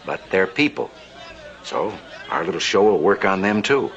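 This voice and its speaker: An actor playing a self-assured, wily banker with playful showmanship, American accent, in a mid-century television western. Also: male voice, deep voice, animated, normal speed, lo-fi sound, crowd noise, background noise. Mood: confident.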